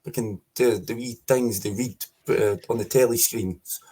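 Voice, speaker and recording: A Scottish man speaking forcefully as a guest in a political interview, repeating his points with emphasis. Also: mid-range voice, steady tone, measured pace, slightly rough. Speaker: podcast guest.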